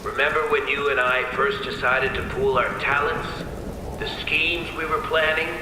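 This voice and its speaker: A male actor plays a bitter criminal mastermind in a video game monologue. His mid-pitched voice rings with heavy echo over rainy noise as he muses slowly and theatrically. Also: little pitch movement, close to an everyday voice. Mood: bitter musing. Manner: musing, theatrical, unhurried. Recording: very echoey, noisy rainy ambience.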